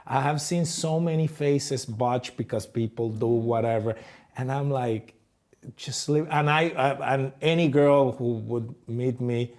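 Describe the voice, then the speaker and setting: Latin American man hosting, halting speech. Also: medium pitch, a little mellow. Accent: Latin American Spanish. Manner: affirming, halting with repeated restarts. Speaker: podcast host. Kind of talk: casual conversation.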